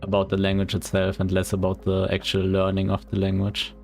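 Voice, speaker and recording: A man's voice: sits low, monotone, brisk, creaky, soft background music. A man with a German accent, a game developer chatting casually on a livestream in a rambling, hesitant way. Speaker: indie game developer streaming.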